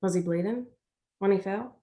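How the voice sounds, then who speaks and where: A woman's voice: mid-range voice, moderate pace, warm tone, lightly acted. Woman acting a loyal country girl in a play reading, folksy, earnest, always reasoning things out to reassure.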